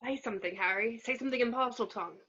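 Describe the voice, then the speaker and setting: A woman's voice: on the high side, rapid-fire, bright, forceful tone, breathy edge, lo-fi sound. A woman playing an earnest, anxious schoolboy wizard in an amateur script read. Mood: urgent.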